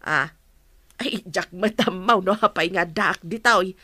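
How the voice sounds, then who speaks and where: A woman's voice: lowish voice, slow pace, bright, forceful tone, no room sound, heavily acted. An actress with a Filipino accent playing a suspicious, nagging older mother who questions and scolds, in a radio drama. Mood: probing. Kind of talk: Ilocano radio drama acting.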